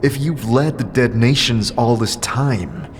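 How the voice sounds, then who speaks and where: A man's voice: mid-range voice, slow pace, slight background noise, close to an everyday voice. A man voicing an immortal male wanderer who talks earnestly and asks pointed questions.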